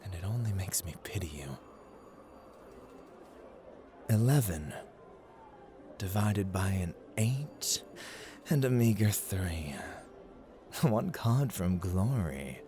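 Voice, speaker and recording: Casting: male actor for a playful, condescending gambling god in audio roleplay; low voice, unhurried and dramatic, dipping into whispers. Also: expressive, warm tone, a little hiss or hum. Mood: ominous, hushed. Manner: theatrical, teasing, formal, condescending, drifting into whispers. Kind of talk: ASMR roleplay, scripted dramatic monologue.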